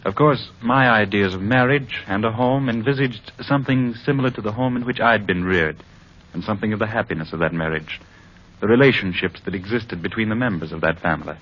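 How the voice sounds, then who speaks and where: Casting: male actor as a troubled, sincere married executive, deep measured voice, vintage radio drama, dull muffled sound. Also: natural voice. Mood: earnest, reflective. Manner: measured, formal, sincere delivery. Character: earnest, troubled married advertising executive testifying about his marriage. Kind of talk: old time radio drama acting. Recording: muffled old radio recording.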